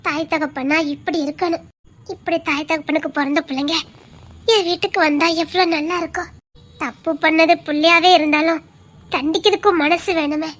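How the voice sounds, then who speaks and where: An actress playing a gentle, forgiving middle-aged mother who soothes a crying young woman. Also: very high voice, steady tone, normal speed. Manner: soft, soothing. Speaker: neighbour, the boy's mother.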